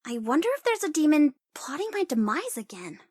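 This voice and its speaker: High-pitched, cocky sorceress. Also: female voice, sing-song, fairly quick, a little mellow, no room sound, clean studio sound. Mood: cocky, wry. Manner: theatrical, teasing, swinging into wry asides. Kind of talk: video game character voice lines.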